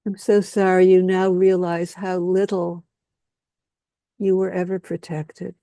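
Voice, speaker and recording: A woman speaking a slow, solemn spoken-word lament, with repetitive phrasing and long pauses. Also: lowish voice, level tone, mellow, dry recording.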